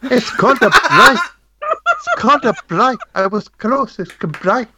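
A man putting on an exaggerated fake South African accent as a joke during a quiz, pitching his voice up. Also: huge pitch swings, a little slow, noisy background, full character voice. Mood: jokey. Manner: jokey, exaggerated, questioning.

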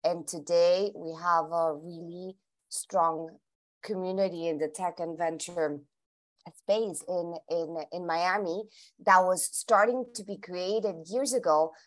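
A woman's voice: fairly low pitch, fairly even intonation, unhurried. A Colombian woman whose first language is Spanish, answering interview questions in English with many restarts and repeated words as she thinks aloud. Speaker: guest.

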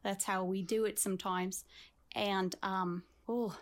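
Woman doing a deadpan Russian lady guest with a put-on accent, slow and dry, mid-pitched. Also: lightly acted. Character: deadpan female Russian guest with dark humor. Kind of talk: improvised comedy sketch.

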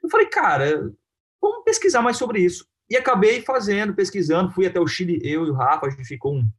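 A man with a Brazilian accent talking informally and haltingly in an interview about his own work, often adding little tag questions. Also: high voice, sing-song, husky. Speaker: film director being interviewed.

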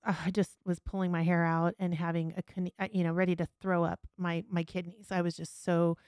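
A woman's voice: lowish voice, level tone, brisk, a little mellow, clear, resonant tone, no echo, clean studio sound. A woman speaking candidly and earnestly in a conversational podcast interview, with emphatic personal storytelling as host.

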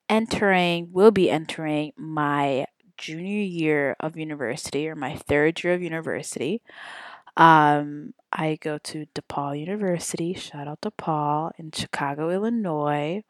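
A woman's voice: deep voice, a little slow, no echo. A woman in her twenties hosting her own podcast, rambling casually with lots of ums and self-corrections. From Saudi Arabia. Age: 20s. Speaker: podcast host. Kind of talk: casual solo podcast chat.

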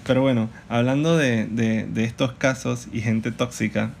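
A young man co-hosting a casual podcast with his partner, telling stories in an animated, joking way. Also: medium pitch, creaky, slight background noise. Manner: joking, animated storytelling with quick laughs. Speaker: podcast co-host. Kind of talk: casual couple podcast chat.

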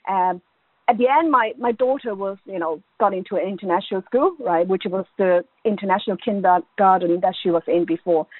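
A woman's voice: mid-range voice, fairly quick, no room sound. A middle-aged woman from Hong Kong and Ireland, an academic guest telling personal stories in an informal, chatty interview style. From born in Hong Kong, grew up in Ireland. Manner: informal, chatty, peppers talk with "you know". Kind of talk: conversational interview, personal anecdotes. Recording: thin, band-limited phone-like line.

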